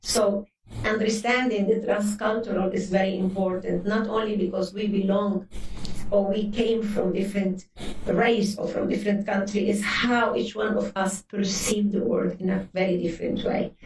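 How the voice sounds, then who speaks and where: A woman's voice: medium pitch, fairly even intonation, moderate pace, darkish tone, slight background noise. A woman in her sixties from Lebanon whose first language is Arabic, speaking earnestly as an interview guest and often restarting her words. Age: 60s. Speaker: guest psychotherapist. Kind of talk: interview conversation. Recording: roomy, distant mic.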